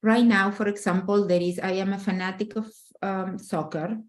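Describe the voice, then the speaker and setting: A woman's voice: medium pitch, fairly even intonation, moderate pace. A middle-aged woman from Argentina, speaking earnestly and emphatically as a relationship coach in a casual interview, often restarting her phrases.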